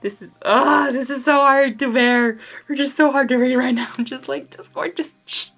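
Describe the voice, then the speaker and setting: A woman reading a story aloud in an expressive manner, breaking into casual, exasperated reactions to the characters. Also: high voice, moderate pace, soft background music. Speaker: fan fiction reader.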